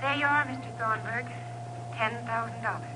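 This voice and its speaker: An actress playing an earnest, grieving young widow, anxious but determined, in a 1950s radio drama. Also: female voice, a little high, normal speed, heavy background noise, natural voice. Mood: nervous. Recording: thin, narrow-band vintage radio recording.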